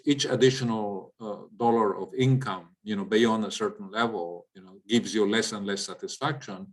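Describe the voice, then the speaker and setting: A man from Latin America, an economist giving an informal explanatory lecture and often checking in with listeners. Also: fairly low pitch. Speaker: economist, guest speaker. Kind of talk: informal lecture with audience questions.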